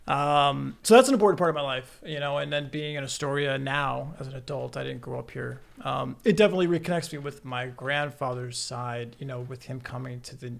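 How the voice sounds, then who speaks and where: A man's voice: mid-range voice, brisk, vocal fry, hardly any pauses. A young man from Florida, a guest on a podcast, talking casually in an earnest, laid-back way. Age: young adult. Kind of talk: casual chat. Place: podcast studio.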